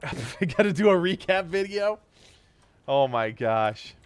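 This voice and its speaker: A thirty-year-old man whose voice is going hoarse and stuffy, streaming live and thanking his viewers for donations in a grateful, rambling way. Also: a little high, lively intonation, slow pace. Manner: grateful, rambling, laughs often.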